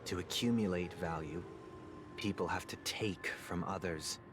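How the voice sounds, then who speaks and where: Low-voiced, courteous gentleman leader. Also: measured pace, noisy background. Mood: grave, persuasive. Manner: formal, polite, philosophical. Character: courteous, composed young gentleman leader, quietly idealistic. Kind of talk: video game voice acting. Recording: loud music under the voice.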